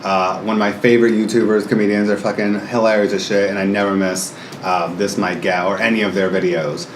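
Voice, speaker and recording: A man in his thirties talks loosely with a friend, a touch low-voiced, lively and full of "like" fillers. The room rings around him. Faint ambient hiss stays underneath. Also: fairly quick. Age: 30s. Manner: chatty, animated, laughs often, many "like" fillers. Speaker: guest. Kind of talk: casual chat. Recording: roomy indoor echo with faint ambience.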